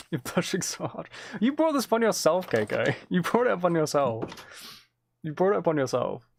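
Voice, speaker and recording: Gravelly-voiced man chatting on a game stream. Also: on the high side, very animated, normal speed, a little hiss or hum. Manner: muttering to himself while playing, repeats phrases. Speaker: livestream host. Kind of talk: casual game stream chat, reading and answering viewer messages.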